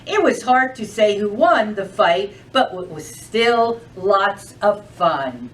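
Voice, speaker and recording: A woman's voice: mid-range voice, animated, takes it slowly, far from the mic. A woman reading a children's storybook aloud as a storytime reader, expressively and addressing the young listeners directly.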